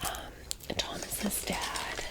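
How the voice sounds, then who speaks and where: A woman in her thirties whispering a chatty, informal ASMR storytime as the host of her channel. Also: very slow. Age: 30s. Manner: chatty, informal, gushing asides.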